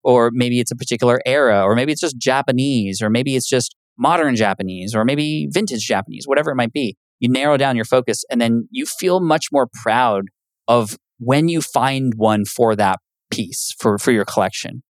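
A man's voice: medium pitch, normal speed, dry recording. A man talking as a podcast guest in casual conversation, earnest and patient. He explains things with many fillers. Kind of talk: casual interview talk.

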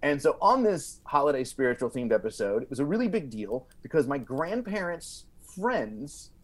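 An American man with a fairly high voice and very lively intonation. He tells stories in a casual, comic way as a podcast guest, animated and wandering off into asides. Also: moderate pace. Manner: animated, digressive, acts out quotes.

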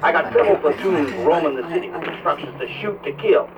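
An actor playing a gruff, urgent, grim army colonel with a terse manner in a radio drama. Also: male voice, on the high side, quick pace, distant mic, a loud crowd, natural voice. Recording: muffled phone line with loud noise.

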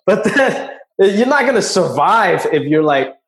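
A man from Hong Kong, a guest comedian, telling stories in a relaxed, conversational way and dropping his voice for asides. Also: sits high, a little slow, poor audio. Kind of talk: casual podcast chat, storytelling.

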